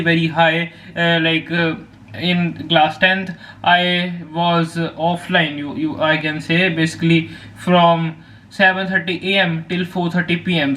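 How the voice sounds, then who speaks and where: A man's voice: fairly high pitch, fairly bright tone. A teenage boy from Punjab with an Indian accent, a native Hindi speaker, talking casually to camera with lots of fillers and restarts. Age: teens. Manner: rambling with many fillers and restarts. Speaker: vlogger.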